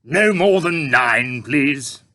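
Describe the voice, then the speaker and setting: A man reading a storybook aloud and voicing a dignified, overly polite old tramp who speaks slowly. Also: medium pitch, animated, pushed and bright, voice pushed up, exaggerated voice. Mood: courteous. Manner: slow, courteous, genteel.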